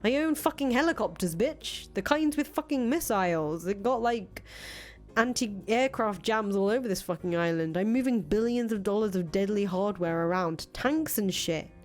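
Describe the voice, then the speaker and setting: A woman's voice: medium pitch, expressive, normal speed, darkish tone, lightly acted. A woman voicing a brash, grandiose young revolutionary leader in a visual novel, foul-mouthed, boastful and emphatic. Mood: angry, boastful. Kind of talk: visual novel character voice reading. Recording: music playing behind the voice.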